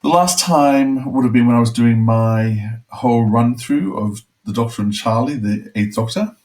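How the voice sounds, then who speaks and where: A man's voice: mid-range voice, moderate pace, a little mellow. A man co-hosting a review podcast, moving between reading out notes and chatting casually. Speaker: podcast co-host.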